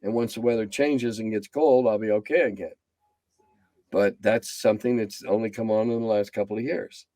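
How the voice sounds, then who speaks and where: A man's voice: steady tone, normal speed, no echo. A man in his seventies, a game designer chatting bluntly and ramblingly as an interview guest. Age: 70s. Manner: blunt, rambling, drops low and quiet as he winds down. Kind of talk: casual interview chat.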